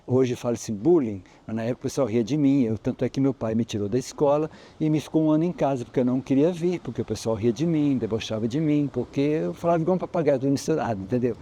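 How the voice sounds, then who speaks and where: A man's voice: mid-range voice, fast, few pauses, warm tone, a little hiss or hum. A Brazilian man from guiding a visitor through a historic house, explaining its history in a rambling way with many restarts. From Brazil, Espírito Santo. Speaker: local historian guide. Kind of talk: on-site interview, explaining history.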